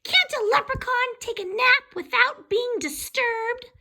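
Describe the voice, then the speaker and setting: A woman voicing a tiny, furious male leprechaun in a storybook, shouting and growling with indignation. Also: very high voice, a little slow, gravelly, pitched above the performer's own voice, heavily acted. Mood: indignant.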